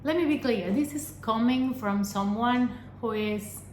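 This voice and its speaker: A young adult woman from Argentina, a vlogger giving an earnest personal talk to camera, pausing often and asking rhetorical questions. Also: on the high side, a little mellow, slight background noise. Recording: echoey room with faint ambience.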